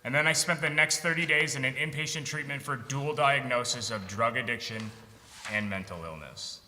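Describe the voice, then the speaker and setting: A man's voice: medium pitch, moderate pace, sharp tone. A man, a veteran, reading his own scripted personal testimony on stage. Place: theatre stage at an arts centre. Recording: long hall reverb.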